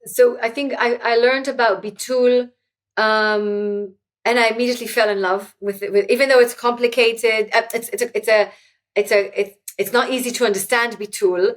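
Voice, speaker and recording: A woman's voice: on the high side, steady tone, normal speed. Earnest woman, Hebrew first language. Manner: earnest, many restarts and fillers. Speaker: guest, author. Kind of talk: reflective interview talk.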